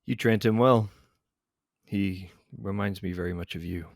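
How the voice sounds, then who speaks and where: A man's voice: low-pitched, a little slow, dry recording, clean studio sound. A man playing a devoted, lovelorn military captain in a sci-fi audio drama, earnest and formally restrained. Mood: restrained longing.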